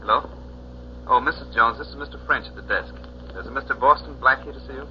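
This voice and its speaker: Man as a brisk, proper hotel desk clerk. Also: medium pitch, natural voice. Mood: polite, brisk. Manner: proper, businesslike. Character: polite, formal male hotel desk clerk. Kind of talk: 1940s radio acting. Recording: dull, noisy 1940s radio recording.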